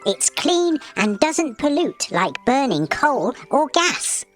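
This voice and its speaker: Tiny magic bug for a kids story, super high n bouncy, cheerful know-it-all, soft music behind. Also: male voice, big pitch swings, slow, exaggerated voice. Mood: chirpy, excited. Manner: chirpy, explanatory. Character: excitable little male magical bug, knowledgeable and cheerful. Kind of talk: children's storybook character voice.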